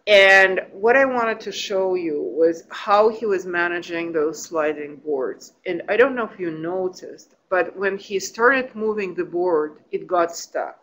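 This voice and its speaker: A woman's voice: fairly low pitch, a bit bright, a little hiss or hum, rough recording. Russian-accented woman presenting research. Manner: thoughtful, with frequent restarts and fillers. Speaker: academic presenter. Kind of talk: conference presentation.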